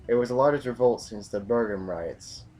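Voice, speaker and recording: A man's voice: mid-range voice, normal speed, darkish tone, lightly acted. A man acting a hardened, brooding military commander with a grave, formal delivery in a science fiction audio drama. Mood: grim, brooding.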